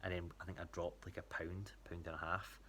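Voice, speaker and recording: Scottish male, low voice, informal and halting check-in style, noisy recording. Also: little pitch movement, fairly bright tone. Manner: hesitant, rambling. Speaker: athlete giving a training check-in to a coach. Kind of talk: casual spoken update.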